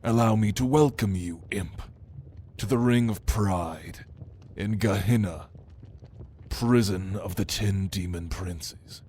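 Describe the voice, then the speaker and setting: A man voicing a prideful, menacing demon in an ASMR roleplay, speaking with deliberate mockery in low murmurs that dip toward a whisper. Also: mellow, a little hiss or hum. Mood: commanding, proud. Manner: slow, deliberate, menacing, mocking. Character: sinister, prideful male demon, a prince's cunning right hand. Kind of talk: ASMR roleplay monologue to the listener.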